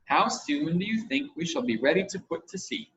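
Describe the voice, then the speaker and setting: Man reading as a crisp, practical young girl. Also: on the high side, lively intonation, normal speed, a bit bright. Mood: smart, practical. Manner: crisp, businesslike. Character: smart, practical girl, first mate of the crew. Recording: muffled home recording with hiss.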